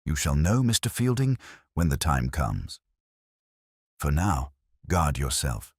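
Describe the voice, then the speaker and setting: A man voicing a calm, incisive Victorian detective in an audiobook, speaking formally and deliberately. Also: very deep voice, slightly rough, no echo, studio quality, full character voice. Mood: grave, probing.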